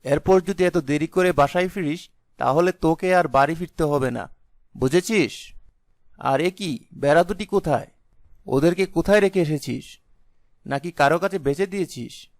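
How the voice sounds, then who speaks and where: A man voicing a young village husband, blustering but easily cowed, with an exclaiming, melodramatic delivery in an animated folk tale. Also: a little high, moderate pace, pauses often, no echo, natural voice. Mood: angry, scolding. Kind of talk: animated fairy-tale voice acting.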